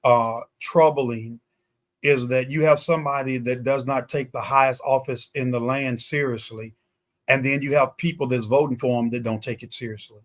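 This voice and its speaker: A man's voice: mid-range voice, fairly even intonation, normal speed. A man in a conversational political talk show discussion, speaking emphatically and repeating phrases for weight.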